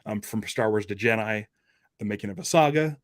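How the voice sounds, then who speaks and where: A man's voice: on the low side, fairly quick, no room sound, clean studio sound. A man hosting a podcast, talking about film in a casual, enthusiastic, conversational way like a fan.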